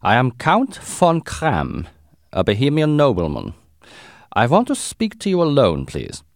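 A man voicing a haughty, anxious Bohemian king in an audiobook, with a German accent and a formal, deliberate, imperious manner. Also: medium pitch, wildly swooping pitch, no room sound, clean studio sound, pitched above the performer's own voice. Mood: formal, guarded.

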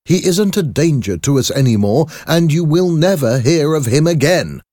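A man voicing an anxious, secretive gentleman doctor in a storybook, speaking emphatically and earnestly. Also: a little high, expressive, moderate pace, no room sound, clean studio sound, pitched above the performer's own voice, lightly acted. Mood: agitated, insistent. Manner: emphatic, earnest, agitated delivery. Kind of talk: audiobook character acting.

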